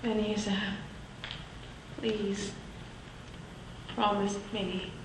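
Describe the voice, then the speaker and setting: A woman's voice: mid-range voice, flat delivery, drawn-out words. A woman playing a dying young mother in a radio drama, faint and pleading. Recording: distant, echoey, audible hiss.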